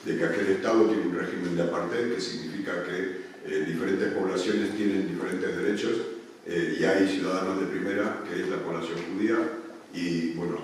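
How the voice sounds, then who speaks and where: Deep-voiced man, echoey room. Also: fairly even intonation, fairly quick, a little hiss or hum. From Argentina. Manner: hesitant, many restarts and fillers. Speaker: panel speaker. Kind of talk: public talk at a union event. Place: meeting room at a union headquarters. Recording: echoey room, speaker far from mic.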